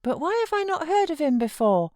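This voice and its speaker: A woman acts out a sickly young British boy in a storybook reading. The voice is raised high and sounds childlike, anxious and stubborn, with a plaintive, questioning way of talking. It is recorded close and dry. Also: big pitch swings, brisk, darkish tone, no room sound. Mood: perplexed, anxious. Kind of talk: audiobook character voice.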